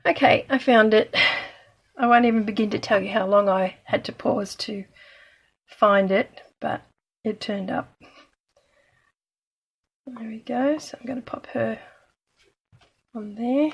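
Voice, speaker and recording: Chatty woman with a croaky voice. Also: fairly high pitch, measured pace, pauses often. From Australia. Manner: chatty, self-deprecating, talking while working. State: slightly croaky voice. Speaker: craft demonstrator. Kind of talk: casual card-making tutorial.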